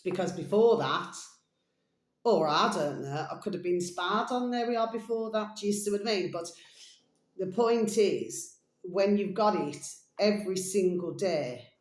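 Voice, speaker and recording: A tired woman video blogger chatting casually and ramblingly to her viewers, pausing often and repeating pet endearments. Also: medium pitch. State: mentally tired, drained. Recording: echoey, roomy sound.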